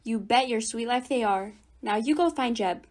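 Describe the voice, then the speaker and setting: A woman playing an exasperated, brisk mother in a radio drama, with a bossy, folksy, scolding manner. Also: a little high, background noise, natural voice.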